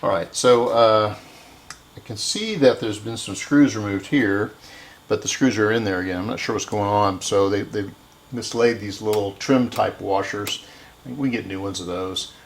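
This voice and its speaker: A man with a fairly low voice and plenty of fry hosts a hands-on repair video. He talks casually and haltingly, thinking aloud as he works. There is faint noise and some clicking in the recording.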